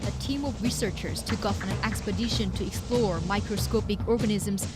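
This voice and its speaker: A woman's voice: mid-range voice, brisk. A woman working as a TV news reporter, narrating a scripted report. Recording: loud music under narration. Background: loud music.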